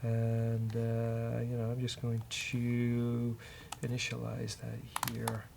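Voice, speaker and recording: A man's voice: mid-range voice, monotone, slow, creaky, a little mellow. Man thinking aloud while coding, faint noise. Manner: joking, bantering with co-host. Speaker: host of a programming show, coding live. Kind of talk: casual live coding walkthrough, thinking aloud.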